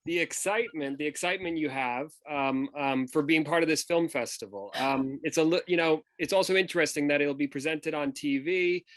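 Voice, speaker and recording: A man's voice: fairly high pitch, a little fast, few pauses, a bit bright, dry recording. A man politely and hesitantly asking a question as a journalist at a press conference.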